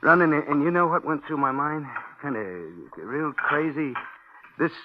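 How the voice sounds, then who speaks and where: An actor playing a restless, haunted gangster on the run in a 1950s radio drama, halting and repeating his words. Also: a little high, steady tone, lightly acted. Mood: troubled, brooding. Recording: muffled old radio recording, faint noise.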